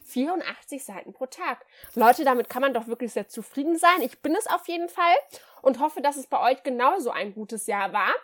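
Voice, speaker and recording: A cheerful woman chats about her reading year on a book podcast. She has a cold, so her voice comes out a little nasal and stuffy. Her voice sits slightly high. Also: animated, brisk. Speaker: podcast co-host. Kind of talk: casual chat.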